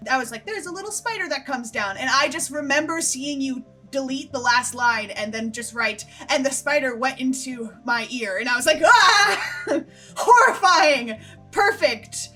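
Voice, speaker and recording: Giggly animated lady hosting a casual q&a, high bright voice, bit of music behind her. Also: moderate pace. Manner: animated, giggly storyteller who quotes herself. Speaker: host and writer of a fiction show. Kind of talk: casual group Q&A chat.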